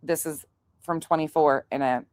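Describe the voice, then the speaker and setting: A woman's voice: sits low, steady tone, normal speed, a little mellow, dry recording. A woman commentating casually on a livestream and chatting with viewers, blunt, profane and scornful in manner. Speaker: livestream host commenting on played clips.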